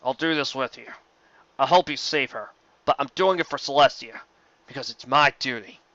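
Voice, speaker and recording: A man's voice: mid-range voice, moderate pace, dry recording, muffled recording, close to an everyday voice. A man voicing a grudging but dutiful old male sorcerer pony, weary and without emotion, in a story reading. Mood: weary, resigned. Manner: flat, weary, unemotional.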